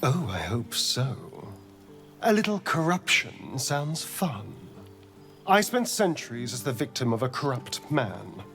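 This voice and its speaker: Sly vampire, vain, flirtatious, unhurried, widely swooping pitch, video game drama. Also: male voice, big pitch swings, a bit bright, soft background music, heavily acted. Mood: smug, defiant. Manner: theatrical, flirtatious, arch, drawn-out delivery. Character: sly, vain male vampire, centuries old, hungry for power.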